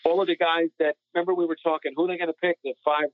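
A man's voice: a little high, little pitch movement, rapid-fire, no echo. Man talking sports on a phone-like line. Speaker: sports podcast panelist. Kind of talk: casual sports discussion. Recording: phone-like narrow-band sound.

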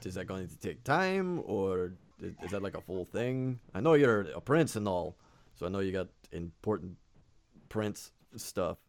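A man hosting and narrating a tabletop game as dungeon master, slightly raspy from fighting a cold, casual with many fillers. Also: low voice, very animated, measured pace, slight background noise. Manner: casual, with frequent fillers and restarts.

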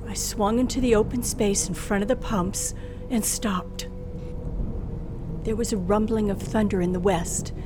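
A woman's voice: medium pitch, steady tone, unhurried, natural voice. An actress playing a nervous, frightened young woman driver who tells her ordeal in a breathless, suspenseful old radio thriller style. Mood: tense, hushed. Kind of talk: old-time radio thriller acting and first-person narration. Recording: vintage radio drama with music underneath.

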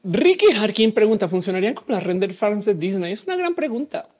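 Woman livestream host, mixed Mexican-Colombian accent, husky voice with a lively swinging pitch, slangy and talkative. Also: quick pace. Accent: mixed Mexican and Colombian Spanish. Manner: casual, chatty, explains at length with slang. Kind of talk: live chat reading audience comments.

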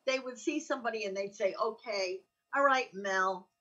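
A woman's voice: fairly high pitch, moderate pace, sharp tone, breathy edge, far from the mic, dull audio. An older American woman with a chatty, joking manner, telling casual anecdotes in conversation. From Allenhurst, New Jersey, United States. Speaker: co-host.

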